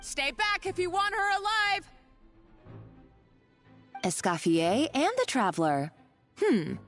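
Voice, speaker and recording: A gloating video game villainess, menacing and vain. Her delivery swoops dramatically from one pitch to another as she taunts and mocks. Music plays behind her. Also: very animated, slow pace, fairly bright tone, heavily acted. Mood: threatening, mocking. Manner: taunting, theatrical, mocking.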